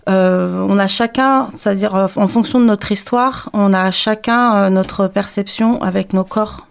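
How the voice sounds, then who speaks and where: Slow, gravelly-voiced woman guest. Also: medium pitch, fairly even intonation. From France. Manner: hesitant, with frequent "euh" fillers and restarts. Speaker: dance teacher, guest. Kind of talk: interview answers. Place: radio studio.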